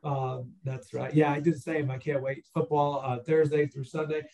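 A man's voice: fairly high pitch, level tone, normal speed, warm tone. A man hosting a podcast, asking rambling stop-start questions full of uh and you know. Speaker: podcast host. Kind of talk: casual interview chat.

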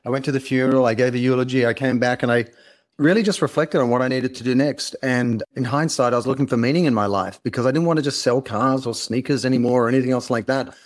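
A man's voice: mid-range voice, fast. A middle-aged man with an Australian accent, speaking as an interview guest in a polished, earnest storytelling style. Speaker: interview guest, company founder and author.

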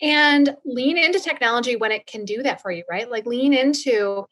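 A woman's voice: fairly high pitch, lively intonation, moderate pace, fairly bright tone. A woman interviewing in a conversational style, affirming and restating points as she leads into questions. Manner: affirming, restates the guest's points before asking.

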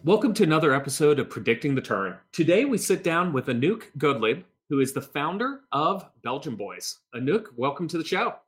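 A man's voice: on the high side, big pitch swings, brisk, few pauses, a bit bright, faint music behind. A man hosting a business podcast, reading an upbeat intro and then asking friendly questions. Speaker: podcast host.

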